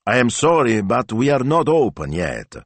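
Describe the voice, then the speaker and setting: A man's voice: medium pitch, very animated, normal speed, dry recording, pitched above the performer's own voice. A man voicing an earnest, polite young butcher's son in an audiobook story.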